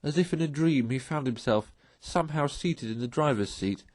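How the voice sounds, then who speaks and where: A man reading a classic story aloud as expressive, scripted narration. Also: fairly high pitch, moderate pace, dry recording.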